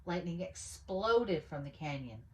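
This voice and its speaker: Low-voiced woman reading a children's story. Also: sing-song, measured pace, poor audio. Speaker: storyteller reading a picture book aloud. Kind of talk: expressive children's story read-aloud.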